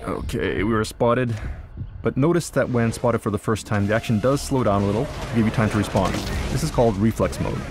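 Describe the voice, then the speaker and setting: Male game demo presenter, lowish and brisk, instructional commentary, loud music underneath. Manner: explanatory, instructional. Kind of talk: live gameplay demo commentary.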